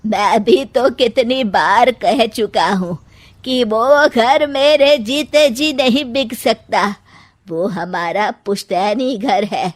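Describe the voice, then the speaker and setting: A sentimental elderly patriarch, voiced by a woman. He talks very slowly, with weary firmness and stubborn attachment to the past. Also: on the high side. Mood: firm.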